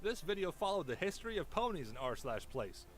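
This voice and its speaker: Lively higher-pitched man narrating a documentary-style video. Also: a little fast.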